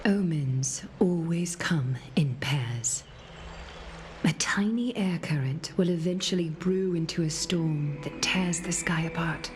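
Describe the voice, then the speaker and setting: A woman voicing a solemn, composed leader in a video game, speaking formally and with measured poise. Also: deep voice. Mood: solemn, grave. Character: solemn, composed female leader weighing omens and fate. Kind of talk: scripted video game dialogue. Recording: music under the voice.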